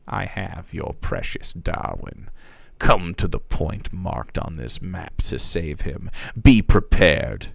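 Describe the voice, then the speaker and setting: A man voicing a sinister kidnapper reading out a ransom note, in a slow, low, hushed manner. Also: expressive, vocal fry, dry recording, exaggerated voice. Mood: menacing.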